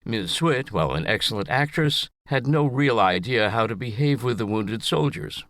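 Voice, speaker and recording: Older man narrating with lively intonation. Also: medium pitch, moderate pace, no echo, clean studio sound. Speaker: essayist reading own story. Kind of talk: scripted personal narration.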